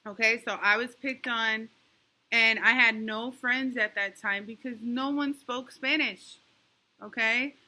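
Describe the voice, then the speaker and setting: Bright-voiced teacher woman coaching young kids. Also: fairly high pitch, steady tone, poor audio. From New York. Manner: patient, encouraging, talks slowly to kids with many "okay?" checks. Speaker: elementary school teacher. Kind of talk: online class for young children.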